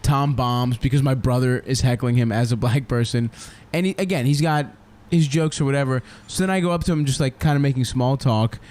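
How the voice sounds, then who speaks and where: A young comedian man spins a rambling, foul-mouthed story on a casual podcast. He keeps stopping to laugh at his own tale, his voice sitting at an ordinary middle pitch. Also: brisk, few pauses, a little mellow.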